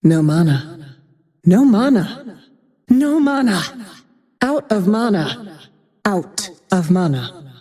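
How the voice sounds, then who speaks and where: An actress playing a cold, bitter, vengeful female archer in a video game, breathy and husky, with a grim, disdainful, deliberate delivery. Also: medium pitch, very animated, warm tone. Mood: frustrated. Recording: long cavernous reverb.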